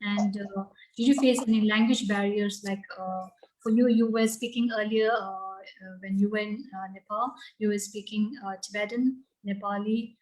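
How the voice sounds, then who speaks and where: A woman's voice: mid-range voice, steady tone, moderate pace, darkish tone, echoey room, poor audio. A woman hosting an interview, asking long, rambling questions with many fillers. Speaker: interview host.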